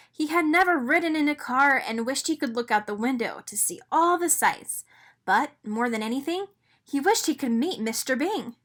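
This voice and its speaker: Woman, high voice, very lively intonation, expressive children's read-aloud narration. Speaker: storybook reader.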